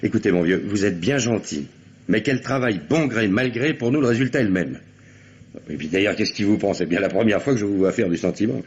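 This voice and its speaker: A man's voice: fairly low pitch, animated, brisk, a little mellow, lightly acted. An actor playing a shrewd, wry narcotics police commissioner in an old French radio crime drama, authoritative and teasing with his subordinate. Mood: firm, impatient. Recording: dull lo-fi old radio recording.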